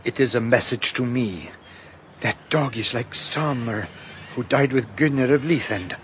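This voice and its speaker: A man's voice: mid-range voice, measured pace, a little hiss or hum, natural voice. An actor playing a shaken foreign man who speaks in a formal, solemn way. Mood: solemn resolve.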